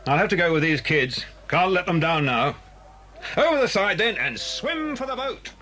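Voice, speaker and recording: An actor with a British accent playing a brave, hearty grown-up family friend in a children's audio drama. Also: male voice, high-pitched, very animated, moderate pace. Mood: explaining. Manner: hearty, commanding. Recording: noisy 1980s cassette drama, engine ambience.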